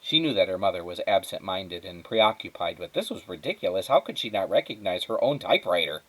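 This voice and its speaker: Expressive man reading a children's story aloud. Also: sits low, low-quality recording. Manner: dramatic, measured phrasing with emphatic pauses. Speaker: teacher reading aloud to students.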